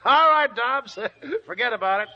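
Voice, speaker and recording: An actor playing a shrewd old gold prospector who chuckles through a folksy drawl, in an old radio play. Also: male voice, very high-pitched, animated, normal speed. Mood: amused. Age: older. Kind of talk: 1940s radio acting. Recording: dull lo-fi old radio recording.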